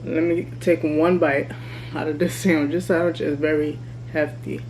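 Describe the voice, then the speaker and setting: Woman in her thirties, vlogging over a meal, mellow voice, faint hum behind. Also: low voice, little pitch movement, normal speed, poor audio. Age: 30s. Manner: candid, chatty, eating while talking. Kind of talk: casual mukbang vlog chat. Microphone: camera mic.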